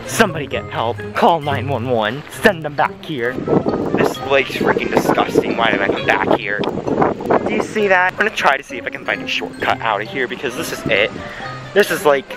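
A man's voice: medium pitch, animated, moderate pace, poor audio. A young man vlogging on his phone in the woods, jittery and melodramatic, talking about being spooked, with music behind. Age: young adult. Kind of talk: casual selfie vlog. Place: outdoors in sunny woods. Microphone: phone held at arm's length.

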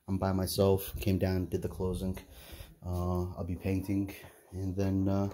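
A middle-aged man giving a casual, halting walkthrough of empty condo rooms, filmed on a handheld phone as he walks. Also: deep voice. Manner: relaxed, halting, many "uh" fillers. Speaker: property investor giving a walkthrough. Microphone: phone camera held while walking.

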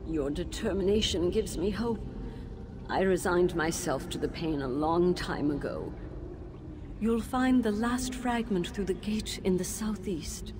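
An actress playing the resigned ghost of a school headmistress in a video game, speaking in a calm, measured way. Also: female voice, fairly low pitch, background noise. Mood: sorrowful. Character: ghostly female school headmistress, weary and devoted. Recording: game audio with loud music.

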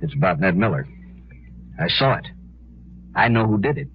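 Creepy, nagging witness in an old western. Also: male voice, fairly low pitch, very animated, normal speed. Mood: eager, urgent. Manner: insistent, prying. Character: creepy, nagging, self-important little man, eager witness. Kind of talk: 1950s radio western acting. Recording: dull, hissy old 1950s radio recording.

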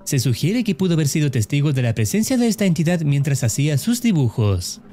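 A man reading scripted narration for a video essay in a formal, measured, explanatory way. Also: a little high, very animated, dark tone, soft background music.

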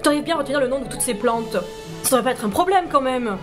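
Young female partner, acted by a woman, teasing, impatient, fast delivery, fairly high. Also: expressive, music in the background. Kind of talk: audio drama acting.

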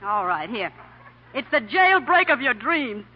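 An actress playing a brash, wisecracking star reporter in a 1940s radio comedy. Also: female voice, on the high side, sing-song, a little slow, breathy edge, heavily acted. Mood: gleeful. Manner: wisecracking, insistent. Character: brash, fast-talking female star newspaper reporter. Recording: dull old 1940 radio recording.

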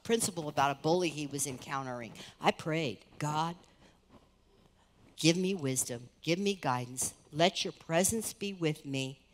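A middle-aged woman pastor preaching on a church stage, earnest and conversational, her voice rising and softening as she speaks. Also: deep voice. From United States. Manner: earnest, conversational preaching with lively rises and soft drops. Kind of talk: sermon. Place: large church stage, indoors. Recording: reverberant church hall.

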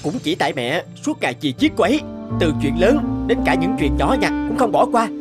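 Hot-tempered, high-voiced young husband. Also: male voice, very animated, fairly quick, loud music behind the voice. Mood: defensive, resentful. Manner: indignant, confrontational. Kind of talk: animated cartoon voice acting.

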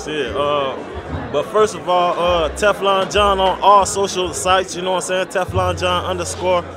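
A young man, born in Haiti, talking about his music as a podcast guest in a relaxed, slangy way into a handheld mic at an event. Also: high voice, moderate pace. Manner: laid-back slangy talk, keeps asking you feel me. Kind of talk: casual interview at an event. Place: event venue lounge, indoors. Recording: loud music and crowd behind.

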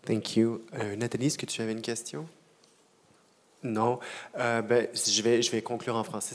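A man moderates the panel and gives brisk, courteous closing thanks. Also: medium pitch. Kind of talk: closing remarks. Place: large dark stage with audience.

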